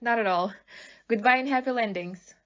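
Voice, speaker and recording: A woman's voice: on the high side, moderate pace, lo-fi sound, close to an everyday voice. A woman playing a blunt, businesslike police inspector in an amateur audio play, casual and matter-of-fact. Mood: brisk, hearty.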